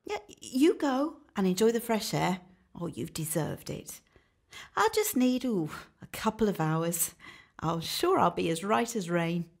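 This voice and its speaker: Radio drama: actress as wife, falsely sweet and coaxing, breathy voice, slow delivery, medium pitch. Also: female voice, sing-song, heavily acted. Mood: sweetly coaxing. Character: sweetly deceitful wife, secretly impatient. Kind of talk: radio play acting.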